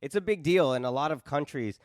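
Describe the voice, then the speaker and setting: A man's voice: fairly high pitch, fairly quick, dry recording, clean studio sound. A man in his thirties hosting a podcast, talking in a flippant, teasing way and telling stories at length. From New England. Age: 30s. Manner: teasing, flippant, animated storyteller. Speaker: podcast host. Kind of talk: casual chat.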